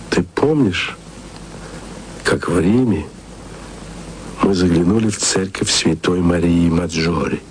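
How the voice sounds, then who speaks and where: A man's voice: low voice, slight background noise, rough recording. A man narrating a radio play as tender, musing letters spoken to a loved one. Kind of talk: intimate radio-play monologue.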